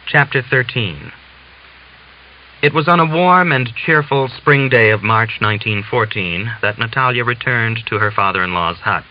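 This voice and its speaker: A man reading literary narration as an audiobook narrator, in a measured, deliberate storytelling manner. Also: medium pitch. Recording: dull hissy lo-fi recording.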